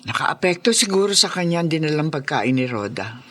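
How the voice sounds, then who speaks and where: A woman's voice: low voice, big pitch swings, fairly quick, a bit bright, full character voice. An actress playing an apologetic, peacekeeping middle-aged mother in a Filipino radio drama. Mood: apologetic, worried. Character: apologetic, peacekeeping mother of grown quarrelling children.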